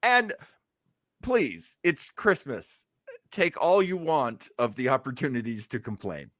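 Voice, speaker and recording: A man hosting a podcast in casual comic chat, joking and somewhat halting. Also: medium pitch, sing-song, a bit bright, no echo, clean studio sound. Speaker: podcast host.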